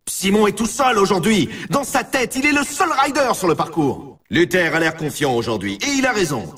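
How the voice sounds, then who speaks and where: A male actor voicing an excitable snowboard race announcer in a video game, delivering scripted commentary with a showman's swoops and stretched words. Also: fairly high pitch, husky, recorded from a distance, full character voice.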